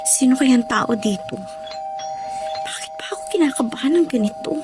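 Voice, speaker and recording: A woman's voice: high voice, animated, moderate pace, over music. An actress playing a troubled, anxious young girlfriend in a Filipino radio drama, with a Filipino accent. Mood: nervous.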